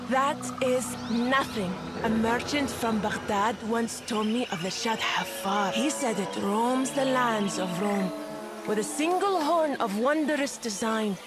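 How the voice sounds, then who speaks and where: An actress playing a woman in a video game who tells a monster tale in a measured, boastful way. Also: fairly high pitch, natural voice. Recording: game audio with music.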